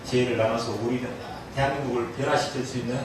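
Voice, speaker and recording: A man's voice: mid-range voice, fairly even intonation, fast. A man speaking as a guest motivational lecturer, telling anecdotes to a live audience. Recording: very echoey distant room, faint noise.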